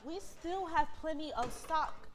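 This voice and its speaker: Female stage role acted by a woman: fed-up, principled factory manager, blunt, voice a little high. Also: a little slow, slight background noise. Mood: exasperated. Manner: blunt, exasperated. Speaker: actor in a staged reading. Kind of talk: staged play reading.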